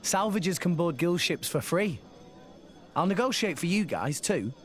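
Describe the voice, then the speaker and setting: Friendly teenage salvager boy, high lively voice, earnest, easily flustered, video game hero. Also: moderate pace. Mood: upbeat, casual. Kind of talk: video game cutscene acting.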